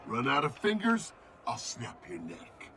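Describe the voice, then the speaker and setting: An actor playing a hot-tempered gambler and debt collector in a video game, emphatic and confrontational. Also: male voice, medium pitch, wildly swooping pitch, measured pace, vocal fry, bright, forceful tone, slight background noise, heavily acted. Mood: threatening.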